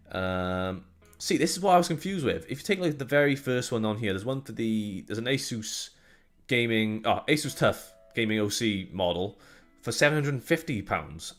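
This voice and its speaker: A young man from Wales with a light Welsh accent, co-hosting a casual tech podcast in a chatty, joking, rambling way. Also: on the low side. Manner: chatty, jokey, rambling with restarts. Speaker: co-host.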